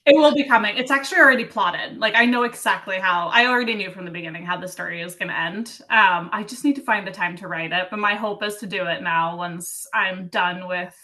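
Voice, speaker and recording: A woman's voice: mid-range voice, fairly quick, hardly any pauses, sharp tone. A young adult woman from Sweden, an author chatting casually in a podcast interview, giggling often and using many fillers. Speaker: author guest.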